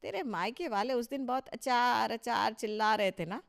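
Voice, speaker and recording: A woman's voice: on the high side, normal speed, gravelly. Woman acting a proud, bossy older mother-in-law. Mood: boastful, indignant. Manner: boastful, scolding, emphatic. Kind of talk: Hindi cartoon story dialogue.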